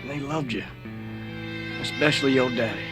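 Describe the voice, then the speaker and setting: An actor playing a fierce, protective older brother, a rugged fighter, in a video game drama. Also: male voice, medium pitch, normal speed, natural voice. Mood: gentle, reassuring. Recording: loud music under the voice.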